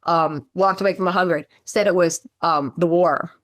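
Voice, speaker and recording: Woman agent, chatty storyteller, lower pitch, dry close sound, video call. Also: moderate pace, no room sound. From Maryland, USA. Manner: chatty, animated storyteller, lots of fillers and asides. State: low morning voice. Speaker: real estate agent. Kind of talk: casual meeting chat on a video call.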